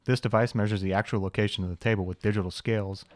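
A young man with a low voice delivers a quick, scripted voice-over tour of his workshop. His humor is dry and wry, full of self-deprecating asides. A faint hiss sits under the recording. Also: fairly even intonation. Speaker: channel host, machinist.

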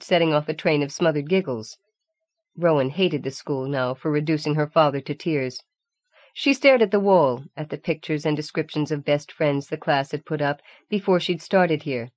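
A woman's voice: low-pitched, a little mellow, dry recording. A woman reading scripted fiction as an audiobook narrator. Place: dry studio voice recording.

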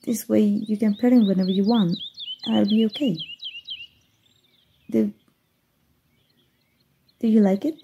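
A woman's voice: mid-range voice, steady tone, a little slow, long pauses, dark tone, lo-fi sound, slight background noise, lightly acted. A woman voicing a bashful giggly fairy. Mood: flustered. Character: playful flustered young female fairy. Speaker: voice actor. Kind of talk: ASMR roleplay audio.

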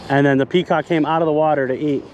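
A man in his thirties vlogging while fly fishing outdoors by a lake, recorded on an action camera, talking casually and getting excited when fish show up. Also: on the high side, fast talker, muffled recording, slight background noise. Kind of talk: casual vlog narration.

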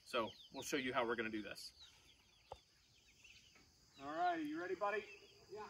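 A man in his forties hosting a casual farm vlog outdoors, with a relaxed drawl and plenty of fillers. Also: fairly high pitch, normal speed, gravelly. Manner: relaxed, folksy, with frequent fillers. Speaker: homestead farmer and channel host. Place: outdoors on a rural farm yard. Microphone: camera mic, at times from a distance. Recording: outdoor camera audio, slightly distant, faint hiss.

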